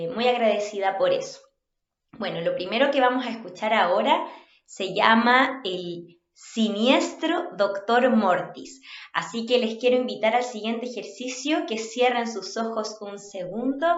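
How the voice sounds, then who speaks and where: A woman with a Chilean accent giving an instructional talk as a workshop facilitator, in a measured, inviting way. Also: medium pitch. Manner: measured, inviting, checks understanding. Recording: roomy, slightly dull home recording.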